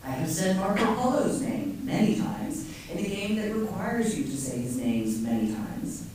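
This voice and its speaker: A middle-aged woman poet giving a measured, deliberate reading of her own poem to an audience. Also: medium pitch, lively intonation, warm tone, slight background noise. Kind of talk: poetry reading. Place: lecture hall. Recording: echoey hall from far off.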